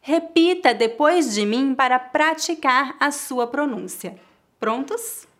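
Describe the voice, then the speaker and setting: A woman with a Brazilian Portuguese accent teaching a pronunciation lesson, speaking with clear, deliberate enunciation for learners to repeat. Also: high voice, expressive, fairly bright tone.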